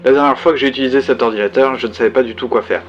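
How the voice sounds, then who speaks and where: A man's voice: medium pitch, very fast, lo-fi sound, faint music behind, natural voice. A man playing a solitary, bookish survivor who reflects on his past in a conversational, hesitant first-person science fiction audio drama monologue. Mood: reflective.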